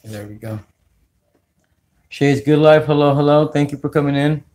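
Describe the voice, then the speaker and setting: A man from California hosting a casual livestream, talking slowly with many fillers as he greets viewers. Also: on the high side, darkish tone. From California, United States. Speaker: livestream host. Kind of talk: casual livestream chat while sorting coins.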